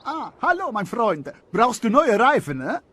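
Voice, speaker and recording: A man voicing an excitable, chatty talking car who runs a tire shop, with a fussy manner, in an animated film dub. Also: very high voice, unhurried, heavily acted. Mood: eager, friendly.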